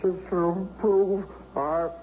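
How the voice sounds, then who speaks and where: An actor playing a bitter, hostile young man who was left crippled, speaking in halting, stammering bursts. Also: high-pitched, steady tone. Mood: angry. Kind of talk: 1970s radio drama acting. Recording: dull old radio broadcast with hiss.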